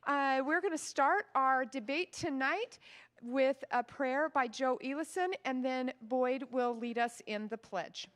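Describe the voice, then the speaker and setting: Loud, high-voiced woman emceeing an event. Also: measured pace. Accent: American. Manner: loud, chatty, laughs between introductions. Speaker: county party chair hosting a debate. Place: event hall.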